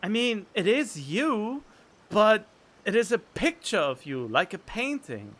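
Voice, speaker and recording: A man's voice: high voice, sing-song, takes it slowly, fairly bright tone. A man giving casual game playthrough commentary, musing aloud and talking back to the game into a close studio microphone. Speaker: game streamer. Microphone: close studio condenser microphone. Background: game music.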